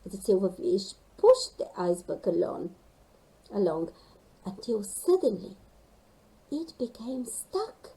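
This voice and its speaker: A woman reading a children's story expressively, animated and engaging young listeners. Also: mid-range voice, slow pace, pauses often, low-quality recording.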